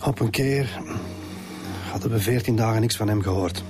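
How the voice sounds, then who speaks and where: A man's voice: fairly low pitch, moderate pace, noisy background, close to an everyday voice. An actor playing a weary, defensive middle-aged Flemish father in a radio drama. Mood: grim, sorrowful. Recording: music under the dialogue.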